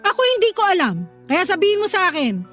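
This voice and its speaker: A woman's voice: very high voice, very animated, fast, a bit bright, faint music behind, heavily acted. A woman playing a bossy, hot-tempered but caring older sister in a Filipino radio drama, scolding bluntly. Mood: irritated, confronting.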